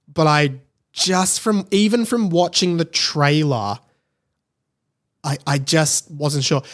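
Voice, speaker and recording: A man with a slightly high voice gives casual commentary as a solo podcast host. He talks conversationally, working through his thoughts aloud. He often repeats a word to stress his point. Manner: conversational, thinking aloud, emphatic repetition.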